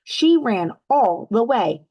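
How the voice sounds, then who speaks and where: A woman hosting a children's read-aloud, telling a storybook expressively with drawn-out emphasis and putting teacherly questions to young listeners. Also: on the high side, no room sound. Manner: teacherly, addresses listeners as friends; animated, exaggerated storytelling emphasis with drawn-out words.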